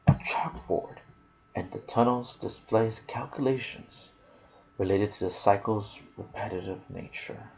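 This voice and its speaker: Male ASMR gaming host, soft and intimate delivery slipping into whispers, low gravelly register. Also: a little slow, poor audio. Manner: soft-spoken, sometimes dropping to a whisper. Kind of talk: ASMR gaming commentary, reading trivia with asides.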